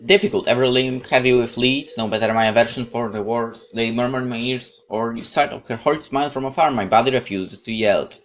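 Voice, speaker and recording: A man's voice: mid-range voice, a little fast, few pauses, a bit bright, a little hiss or hum. Man reading a horror game aloud. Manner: dramatic narration with casual asides. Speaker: let's play host. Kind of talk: reading a visual novel aloud with commentary.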